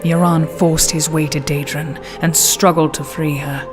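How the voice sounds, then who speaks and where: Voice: medium pitch, little pitch movement, a little slow. A narrator reading a scripted fantasy tale in a solemn, formal manner. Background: clear music.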